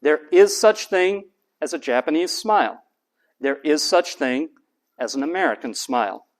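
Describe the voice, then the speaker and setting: Male university lecturer, high and very animated pitch, poses questions and answers them for the class. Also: measured pace.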